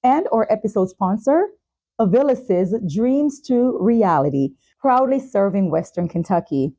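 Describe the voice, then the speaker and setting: Mellow-voiced woman hosting a talk show. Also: medium pitch, animated, measured pace. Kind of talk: hosted talk show, sponsor reads and interview questions.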